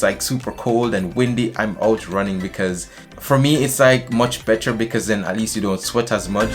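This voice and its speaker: A young man from Jamaica talks straight to the camera in a vlog, his voice sitting low. He chats in an easygoing, animated way from a small room, with faint music playing underneath. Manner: chatty, animated with hand gestures. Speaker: vlogger. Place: small indoor room, decorated shelves.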